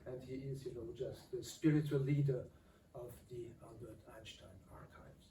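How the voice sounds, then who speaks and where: An older man, moderating a public talk in a lecture hall in a halting, pausing way. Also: mid-range voice, mellow, a little hiss or hum. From Austria. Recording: echoey hall from far off.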